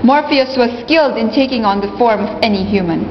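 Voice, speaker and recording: A woman's voice: a little high, brisk, slight background noise, natural voice. A woman narrating a myth in a scripted storybook style, as the narrator of a radio play. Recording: muffled, echoing, music behind.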